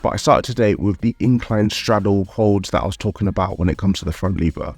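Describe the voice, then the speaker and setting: A man's voice: deep voice, a little fast, a little mellow, breathy edge, no room sound, soft background music. A man narrating his calisthenics vlog in a candid, upbeat way, sounding a little nasal from a cold. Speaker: calisthenics vlogger.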